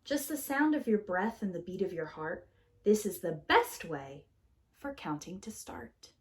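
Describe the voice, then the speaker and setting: Female preschool storyteller: theatrical picture-book read-aloud, highly animated pitch, stretches key words for small children. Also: measured pace, slight background noise.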